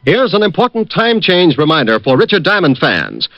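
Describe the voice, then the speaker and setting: Formal 1950s radio announcer, male, animated and high, over music on dull vintage audio. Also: moderate pace. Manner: polished formal delivery.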